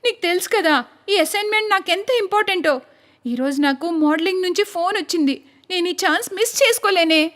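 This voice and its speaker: A woman's voice: very high-pitched, a little fast, a bit bright. A woman voicing a young bride begging her best friend for a favor in a cartoon, pleading and urgent. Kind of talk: cartoon voice acting.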